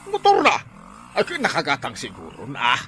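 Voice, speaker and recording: A man playing an exasperated, excitable father scolding in an Ilocano radio comedy drama. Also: mid-range voice, moderate pace, a little hiss or hum.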